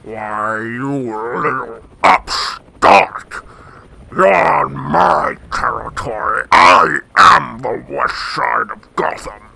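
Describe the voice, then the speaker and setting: An actor playing a pompous, indignant big crime boss in a radio drama. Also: male voice, mid-range voice, animated, very slow, gravelly, bright, forceful tone, heavily acted. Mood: indignant, angry. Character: blustering, arrogant male crime boss, big man.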